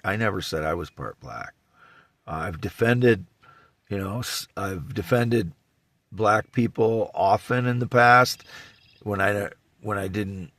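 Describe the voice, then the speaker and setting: A man in his fifties from Iowa with a Midwestern American accent and a scratchy voice, rambling in a halting, repetitive, insistent monologue. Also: deep voice, fairly bright tone, no room sound. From Iowa, United States. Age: 50s. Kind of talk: rambling self-recorded monologue.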